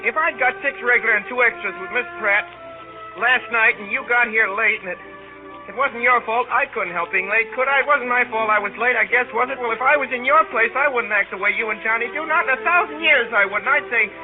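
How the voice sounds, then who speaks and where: A man's voice: extremely high pitch, fairly quick. An actor playing an awkward, lovesick teenage boy, earnest, whiny and stammering, in 1930s radio drama style. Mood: whiny pleading. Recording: tinny 1938 radio recording with music.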